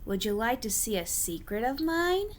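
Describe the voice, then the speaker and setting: A woman's voice: a little high, big pitch swings, moderate pace. A woman voicing a cheerful, sly and confident little male tank engine in a storybook, coaxing and teasing.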